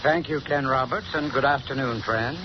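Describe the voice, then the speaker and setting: A man giving an earnest, instructive sponsor pitch on a 1930s radio show. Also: normal speed. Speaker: sponsor's heating expert. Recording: noisy dull 1930s radio recording.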